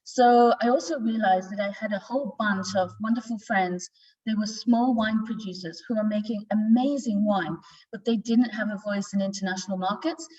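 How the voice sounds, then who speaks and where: A woman's voice: medium pitch, darkish tone. A woman in her forties with a Northern English accent, telling her story informally as a guest presenter on a video call. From north of England. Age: 40s. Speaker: guest presenter, wine exporter. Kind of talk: informal virtual tasting presentation. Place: office tasting room, indoors. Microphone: video call, away from the mic. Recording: distant, dull lo-fi video-call sound.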